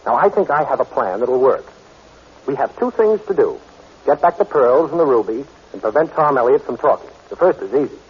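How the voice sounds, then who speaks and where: An American actor playing a cool, good-hearted reformed thief in a 1940s radio drama, wry and assured. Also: male voice, mid-range voice, lively intonation, brisk, slightly rough. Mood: reassuring. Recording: muffled old radio recording.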